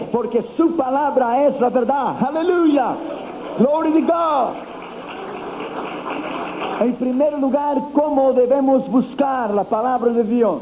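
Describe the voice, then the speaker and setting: A man's voice: very high voice, warm tone, poor audio. A man preaching a sermon to a congregation in with a slight tinge, declaiming with emphatic, rising exclamations. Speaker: evangelist preacher. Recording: old recording with faint hiss.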